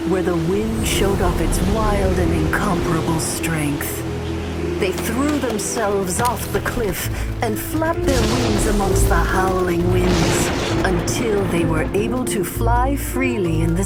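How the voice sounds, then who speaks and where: A woman narrating an old fable in a calm, measured storytelling voice. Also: on the low side. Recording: game audio with loud music.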